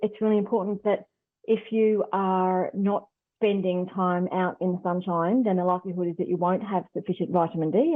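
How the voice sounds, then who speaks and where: A woman's voice: medium pitch, no echo. Australian woman, expert guest, conversational explanations, dry close sound, thin telephone-like band. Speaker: health expert guest on radio phone-in. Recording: muffled phone-like line on radio.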